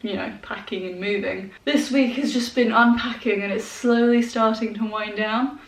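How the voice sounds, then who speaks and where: A woman's voice: on the high side, fairly even intonation, moderate pace, distant mic. Congested young woman chatting in a roomy echo. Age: 20s. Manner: chatty, rambling, with fillers and small laughs. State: congested with a head cold. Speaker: vlogger. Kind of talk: casual chat to camera. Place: sparsely furnished room at home, indoors. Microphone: handheld compact camera. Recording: roomy echo, voice a bit off-mic.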